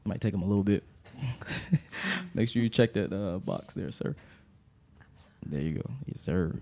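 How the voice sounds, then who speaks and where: A man's voice: sits low, big pitch swings, measured pace, gravelly, darkish tone, a little hiss or hum. A young man hosting a casual podcast, loose and joking with his guests and laughing often. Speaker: podcast host.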